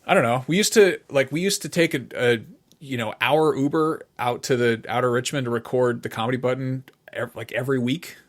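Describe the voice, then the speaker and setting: A middle-aged man with a medium-pitched voice in casual podcast conversation. He speaks haltingly, doubling back and repeating phrases as he works out his thought. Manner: halting, circling, repeats phrases while thinking aloud. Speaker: podcast co-host.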